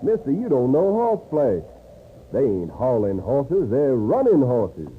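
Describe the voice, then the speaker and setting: An actor playing a cocky, grumbling frontier gambler with a folksy Western drawl in a radio drama. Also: male voice, mid-range voice, wildly swooping pitch, a little slow. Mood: grudging, cocky. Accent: American Western drawl. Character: brash, grumbling frontier gambler and horse racer. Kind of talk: old-time radio western acting. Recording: noisy, narrow-band old radio recording.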